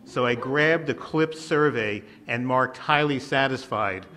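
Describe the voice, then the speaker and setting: Bright-voiced man reading aloud, noisy room. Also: medium pitch, measured pace. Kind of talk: reading a written anecdote at a memorial tribute. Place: live memorial reading at a church.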